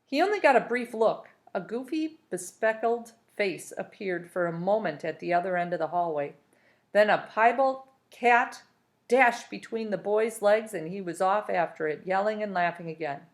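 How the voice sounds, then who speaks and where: A woman's voice: mid-range voice, animated, moderate pace. A woman narrating a children's novel aloud as a storybook reader.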